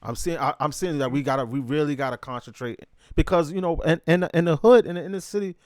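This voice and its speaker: A man's voice: on the high side, quick pace, no echo, studio quality. A man hosting a casual interview, slangy and enthusiastic, eagerly agreeing with his guest. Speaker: show host.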